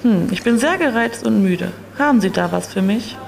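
A woman's voice: mid-range voice, lively intonation, moderate pace, vocal fry, a little mellow. A woman playing a determined, irritable traveller with a dry, resolute manner in a sci-fi audio drama. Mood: weary, dry. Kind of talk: German science-fiction audio drama. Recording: roomy, distant mic, music behind.

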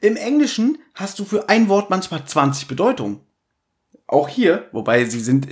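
A man chatting casually and jokingly as a podcast co-host, sometimes quoting others or going through his notes. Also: fairly high pitch. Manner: joking, mimics others in mock quotes, reads out notes.

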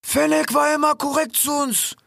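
A man voicing small, excitable animals and birds in a storybook. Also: extremely high pitch, moderate pace, vocal fry, dry recording, studio quality, soft background music, pitched above the performer's own voice, full character voice. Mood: chanting, excited. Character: excitable, shrill little birds and animals of a crowd.

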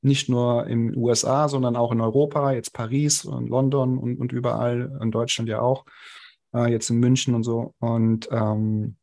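A man's voice: mid-range voice, flat delivery, normal speed, creaky, a little mellow. A young adult man, a podcast guest, speaking casually with many hesitations and restarts. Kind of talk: casual interview talk.

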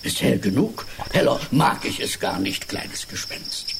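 A man voicing a grumbly old eagle-owl who shuns daylight in a children's audio play, with a creaky low voice. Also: very animated, measured pace, full character voice. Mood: grumbling. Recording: noisy night ambience with insects, clicks.

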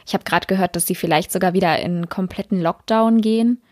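A woman's voice: lowish voice, quick pace, darkish tone, dry recording, clean studio sound. A woman hosting an interview podcast, introducing the show and putting questions to a guest. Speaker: podcast host and editor.

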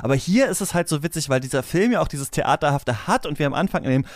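A man's voice: a little high, expressive, quick pace, no room sound. A man with a slightly raised voice co-hosting a film talk, amused and rambling as he makes his points. He sounds close and dry on the mic. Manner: chatty, amused, rambling with fillers. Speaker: podcast co-host. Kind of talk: casual film discussion.

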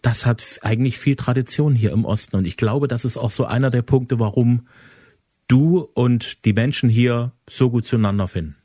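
A man from eastern Germany hosting an interview, laying out his ideas in a measured, explanatory way. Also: mid-range voice, warm tone, breathy edge, no room sound, studio quality. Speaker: podcast host. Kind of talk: interview conversation.

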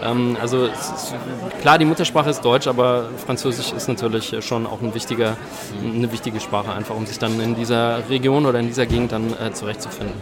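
A man's voice: medium pitch, little pitch movement, fairly quick, a crowd in the background. A man from eastern Belgium, guest in a conversational interview, talking hesitantly with many fillers and restarts. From Belgium (Eupen). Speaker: guest, beer shop owner.

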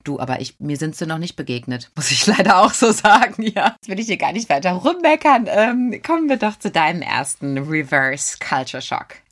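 A woman co-hosting a casual podcast conversation in an expressive, colloquial and engaged way. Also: fairly low pitch, normal speed, few pauses. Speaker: podcast co-host.

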